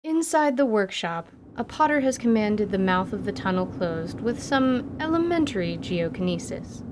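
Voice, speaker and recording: A woman's voice: medium pitch, lively intonation, moderate pace, a little hiss or hum. A woman narrator reading scripted, dramatic fantasy narration for radio theatre.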